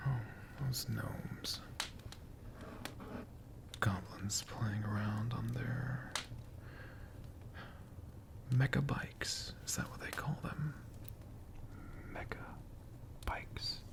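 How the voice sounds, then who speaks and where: A man in a friendly dragon persona, telling stories in a slow, hushed ASMR whisper with playful asides to the listener. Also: mid-range voice, steady tone, vocal fry.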